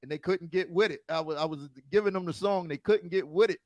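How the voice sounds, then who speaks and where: A man's voice: high-pitched, fast talker, darkish tone, no room sound, dull audio. An American man who hosts and DJs, telling stories in a casual interview with stumbling restarts. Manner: storytelling, stumbling restarts, laughs often. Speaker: DJ and podcast host.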